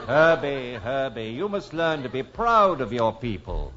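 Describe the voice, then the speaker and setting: A man's voice: mid-range voice, sing-song, measured pace, noisy background. An actor playing a doting, bloodthirsty werewolf father in a 1950s radio comedy sketch. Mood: gleefully macabre. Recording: dull old radio recording with music.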